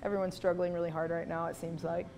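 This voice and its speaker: A woman's voice: lowish voice, little pitch movement, quick pace, vocal fry, darkish tone. A woman in her thirties speaking earnestly in a casual interview, often checking in with the listener. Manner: earnest, seeks agreement with "you know". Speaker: local business owner. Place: salon or barbershop. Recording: faint room noise behind.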